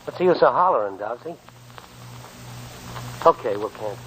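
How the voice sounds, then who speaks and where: A man's voice: medium pitch, expressive, normal speed, soft background music, lightly acted. An American man acting an honest, level-headed prospector in a 1940s radio drama, plain-spoken and firm. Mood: wary. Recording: noisy old 1940s radio broadcast.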